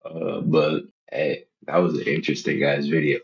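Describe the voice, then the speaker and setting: A man's voice: a bass voice, little pitch movement, normal speed, vocal fry, highs cut off. A twenty-year-old man giving casual, unscripted reaction commentary in a slangy, profane, joking way, often repeating his phrases. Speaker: reaction video host.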